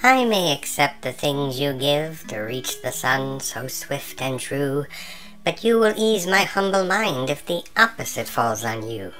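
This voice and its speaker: A man voicing a calm, learned male moth who speaks with precise, knowing composure. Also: mid-range voice, measured pace, soft background music, voice pushed up, poor audio. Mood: earnest, pleading. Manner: calm, knowing, slightly superior.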